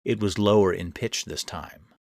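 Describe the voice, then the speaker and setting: A man's voice: deep voice, moderate pace, creaky, darkish tone, no room sound, studio quality. A man reading his own first-person horror fiction as narrator, in a dramatic, suspenseful way. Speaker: author and narrator.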